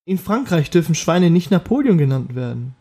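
Casual podcast co-host, man, slightly high, husky voice, rambling and jokey. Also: very animated, moderate pace, dark tone. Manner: rambling, jokey, trails off mid-sentence. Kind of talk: casual chat.

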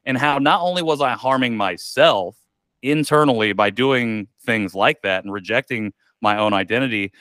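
A man's voice: mid-range voice, moderate pace, fairly bright tone, dry recording, studio quality. A man, a podcast guest telling a candid personal story in a reflective way, with many likes and ums. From United States.

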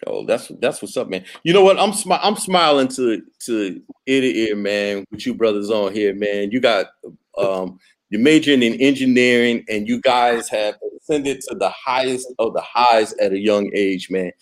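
A man's voice: fairly low pitch, moderate pace. A man hosting a podcast, talking in an enthusiastic, slangy, casual way. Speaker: podcast host.

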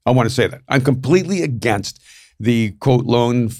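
A man's voice: medium pitch, lively intonation, normal speed, darkish tone. A man from El Salvador speaking emphatically in an opinionated panel discussion, often repeating his points.

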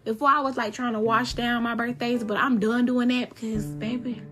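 A woman's voice: a little high, little pitch movement, normal speed. A woman of thirty hosts a solo podcast in a loose, chatty way. She leans hard on repeated phrases to drive each point home. Music plays steadily underneath her voice. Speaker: podcast host. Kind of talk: casual solo podcast talk.

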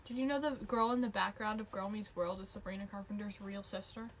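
A woman's voice: mid-range voice, brisk, slight background noise, low-quality recording. A woman co-hosting a casual podcast, chatting in a rambling, playful way as she hops between silly topics. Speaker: podcast co-host. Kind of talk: casual chat.

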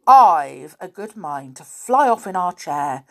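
A woman's voice: fairly low pitch, sing-song, slow, full character voice. A woman voicing a bold, boastful male pixie in a children's storybook, scolding indignantly and emphatically. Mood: indignant, threatening.

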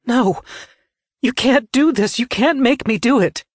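A man's voice: extremely high pitch, a little slow, no echo, clean studio sound, pitched above the performer's own voice. A man voicing a distraught young female apprentice, pleading and defiant in anguished outbursts, in a narrated story. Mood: desperate protest.

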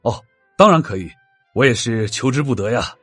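A courteous man in his forties in an audio drama. His husky voice is hearty and very animated, with music underneath. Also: mid-range voice, normal speed. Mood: hearty, pleased. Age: 40s. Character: bald, bearded widower of forty-four, courteous mystery-novel fan. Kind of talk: multi-cast audio drama acting.

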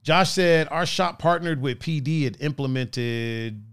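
A man hosting a casual chat show with a raspy voice, talking in a laid-back, profane, joking way and reading out chat comments. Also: on the high side, measured pace, fairly bright tone, dry recording, clean studio sound. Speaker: podcast host.

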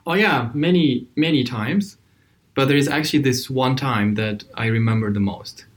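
A man's voice: medium pitch, moderate pace. A man from Syria, a podcast guest, telling his story in a reflective, hesitant way with frequent fillers, in a hotel room. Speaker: interview guest. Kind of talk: conversational storytelling.

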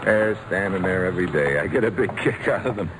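A big, easygoing American ballplayer in an old radio drama. His friendly delivery swings up and down over a clearly noisy vintage recording. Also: low-pitched, lively intonation, fairly quick. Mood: jovial, harried.

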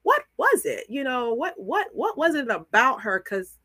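A woman telling stories candidly and casually as a podcast guest, acting out the dialogue she quotes in an animated way. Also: high voice, measured pace, no echo, clean studio sound. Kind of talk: casual, candid storytelling in conversation.

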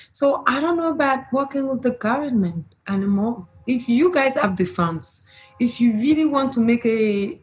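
A woman's voice: fairly high pitch, a little mellow, poor audio. Earnest woman. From Burkina Faso. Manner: earnest, emphatic, non-native grammar. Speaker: panel speaker, grassroots activist. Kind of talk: panel discussion.

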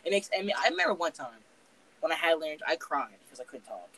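A young man with a slightly raised voice talks casually on a podcast. He swears constantly and rambles through animated stories. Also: on the high side, rapid-fire, rough recording. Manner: profane, rambling, animated storytelling. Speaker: podcast co-host.

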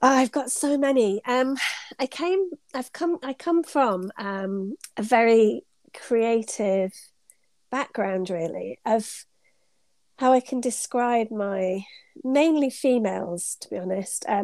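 A woman's voice: on the high side, slow pace, a little mellow, dry recording. A middle-aged British woman with a cold, sounding stuffed up as she chats as an interview guest, telling rambling stories full of ums and laughs. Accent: British English. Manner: chatty, rambling storyteller with frequent ums and laughs. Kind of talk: casual conversational interview.

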